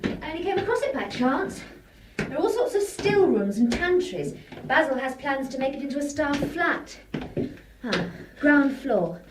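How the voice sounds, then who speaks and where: A brisk, commanding young woman, high lively voice, roomy and off-mic, noisy, radio play. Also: recorded from a distance. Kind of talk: 1970s radio drama acting.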